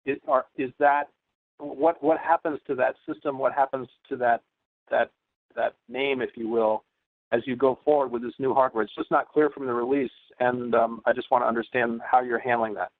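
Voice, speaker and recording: A man's voice: mid-range voice, fairly even intonation, moderate pace, no room sound. A man putting an unscripted, probing question on a press call, hesitant and repeating words. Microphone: telephone. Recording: dry, boxy phone line.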